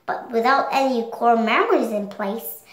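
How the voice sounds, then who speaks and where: A seven-year-old girl reading a storybook aloud in a careful, halting way. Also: on the high side, expressive, reverberant, poor audio. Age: child, 7 years old. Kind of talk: read-along storybook narration.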